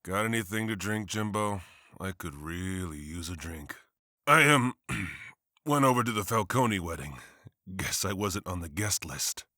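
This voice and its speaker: An actor playing a defiant district attorney in an animated comic, sardonic and forceful. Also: male voice, low-pitched, unhurried, no echo, studio quality, heavily acted. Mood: worn, frustrated.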